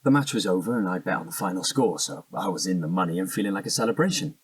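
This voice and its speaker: A man's voice: sits low, expressive, fast talker, slightly rough, no echo, distant mic. An actor playing an evasive, defensive young British man in a naturalistic audio drama, hesitant and slangy. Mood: reminiscing, evasive.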